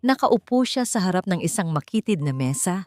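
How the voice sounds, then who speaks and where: A woman's voice: lowish voice, big pitch swings, a little fast, darkish tone, no room sound, faint music behind. A woman reading a scripted, dramatic story as its narrator.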